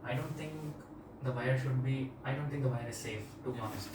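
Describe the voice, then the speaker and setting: A man's voice: medium pitch, brisk. A man with an Indian accent giving a casual, plain-spoken product demonstration and review as a vlogger. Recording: echoey room, distant mic, clear background noise.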